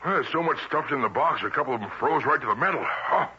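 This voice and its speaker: An actor playing a good-natured small-town mailman and husband in a 1970s radio drama, with a homespun, plain-spoken manner and a husky voice. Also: mid-range voice, expressive, quick pace, bright, forceful tone, slight background noise. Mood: cheerful, chilled. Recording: dull vintage radio broadcast recording.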